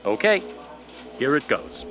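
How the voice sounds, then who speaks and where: A man's voice: mid-range voice, big pitch swings, measured pace, pushed and bright, soft background music. A man playing an affable, slightly hesitant astronomy professor explaining his work in a radio play interview. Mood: amused, reassuring.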